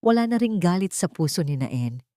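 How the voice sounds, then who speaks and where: A woman narrating a scripted love story in a measured, sentimental, dramatic storytelling manner. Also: fairly low pitch, very animated, dark tone, no room sound, clean studio sound. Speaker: audiobook-style story narrator.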